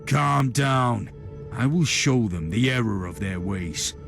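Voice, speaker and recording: A cool-headed, commanding inquisitor in a game drama. He is dry and haughty, with a gravelly creak and lively pitch, over faint music. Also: takes it slowly, exaggerated voice. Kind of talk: dramatic video game acting.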